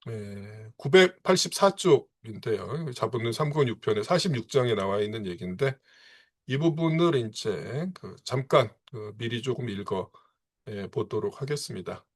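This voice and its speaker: A man giving a seminar lecture, pausing often and filling gaps with short affirmations. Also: mid-range voice, expressive. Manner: punctuates phrases with frequent filler affirmations.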